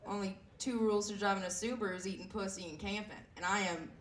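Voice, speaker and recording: A woman in her twenties with a raspy voice, performing a self-deprecating stand-up set with lots of fillers and halting restarts. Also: mid-range voice, steady tone. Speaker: stand-up comedian. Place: comedy club stage. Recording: echoey room heard from a distance.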